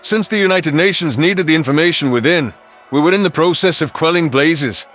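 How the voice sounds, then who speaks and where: Give this a male audiobook narrator with a fairly high voice. He reads scripted first-person fiction dramatically, with faint music underneath. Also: fairly bright tone.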